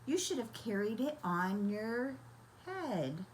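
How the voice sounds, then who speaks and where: A woman's voice: on the high side, very animated, takes it slowly, a little hiss or hum. A woman voicing a storybook mother who scolds her foolish son in an exasperated, emphatic way.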